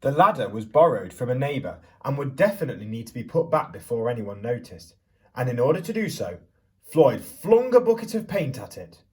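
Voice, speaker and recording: A man reading a picture book aloud to young children as a teacher would, theatrically, pausing to put questions to them. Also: medium pitch, sing-song, distant mic. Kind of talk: expressive children's storybook reading with questions to listeners.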